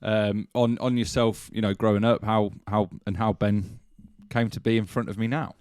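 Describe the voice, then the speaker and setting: A young man hosting a casual podcast in a small studio. His questions run loose, padded with fillers and repeated words. The voice is fairly low and recorded close and dry at a desk mic. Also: little pitch movement, normal speed, darkish tone, smooth, dry recording. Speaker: podcast host. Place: small studio room with curtains. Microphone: desk mic on boom arm, headphones.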